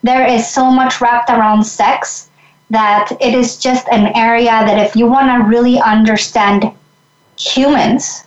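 Woman from Mexico, fairly high voice, reflective, roomy echo, slightly muffled. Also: fairly even intonation, measured pace. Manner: reflective, earnest, with frequent restarts. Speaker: guest. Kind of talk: conversational interview.

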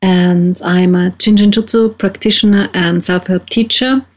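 A woman from Austria with an Austrian German accent, a co-host on a radio talk show who speaks haltingly with many ums and restarts. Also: lowish voice, steady tone. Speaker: co-host, self-help teacher.

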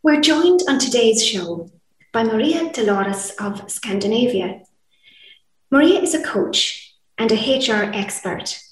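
Woman, interview host, enthusiastic, polished, higher voice, distant from mic. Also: expressive, a little slow. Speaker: podcast host. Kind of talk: scripted introduction and interview questions. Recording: roomy sound, distant from mic.

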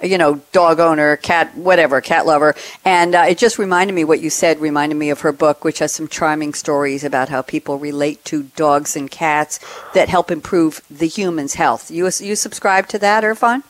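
A woman's voice: sits low, steady tone, normal speed. A woman hosting a radio talk show from her home office, chatty and upbeat. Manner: chatty and upbeat, teasing sign-offs. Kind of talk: lively radio host chat.

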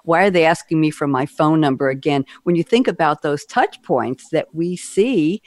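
A woman's voice: low-pitched, moderate pace, a little mellow, dry recording. A woman hosting a corporate podcast, chatty and upbeat, asking her listeners rhetorical questions. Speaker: podcast host.

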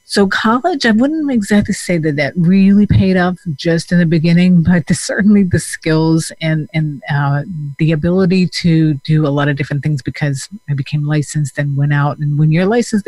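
A man, a therapist talking in a casual, reflective way with many pauses and fillers. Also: on the high side, darkish tone, no echo, rough recording. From Denmark. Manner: thoughtful, hesitant with many fillers.

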